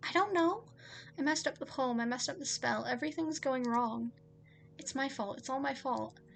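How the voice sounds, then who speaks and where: A woman voicing a nervous, lovesick man in an audio story, with a halting, stammering, anxious way of talking. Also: fairly high pitch, steady tone, natural voice. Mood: panicked.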